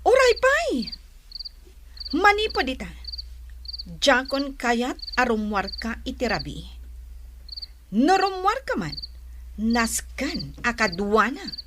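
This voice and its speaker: A woman's voice: high voice, huge pitch swings, slow, pauses often, noisy background, heavily acted. A woman acting a jealous, demanding young woman who scolds and questions. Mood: suspicious, scolding. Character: jealous, suspicious, possessive young woman Carla. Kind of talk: Ilocano radio drama acting.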